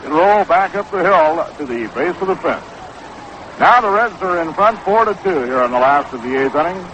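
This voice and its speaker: 1960s baseball radio announcer, noisy old recording. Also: male voice, on the high side, animated. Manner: measured, descriptive calls that quicken on action. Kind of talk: 1960s live radio sports commentary. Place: outdoor ballpark broadcast position. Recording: noisy, dull vintage 1960s radio broadcast.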